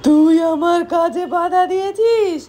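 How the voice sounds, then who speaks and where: Woman putting on a slow, menacing witch. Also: extremely high pitch, mellow. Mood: gloating. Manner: slow, drawn-out taunting with cackles. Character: menacing gloating witch possessing a young mother. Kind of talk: doll-play story acting.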